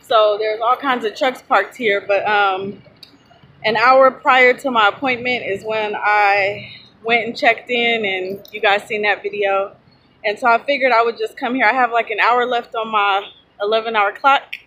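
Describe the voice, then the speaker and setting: A woman's voice: a little high, steady tone, a little slow, fairly bright tone, rough recording. A woman in her thirties, a truck driver, chatting casually and rambling to her phone camera outdoors in a parking lot. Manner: rambling, chatty, loses her train of thought. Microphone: phone at arm's length.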